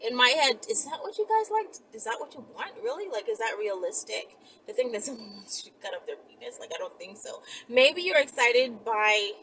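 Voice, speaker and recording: A woman's voice: a little high, very animated, a little fast, fairly bright tone, a little hiss or hum, poor audio. A woman video host chatting casually and rambling to viewers in a blunt, emphatic, profane, conversational way.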